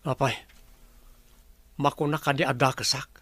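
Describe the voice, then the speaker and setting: A man's voice: mid-range voice, steady tone, moderate pace, fairly bright tone, no room sound, lightly acted. An actor with an Ilocano accent playing a troubled, doubting businessman and boss in a radio drama. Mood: troubled, defensive.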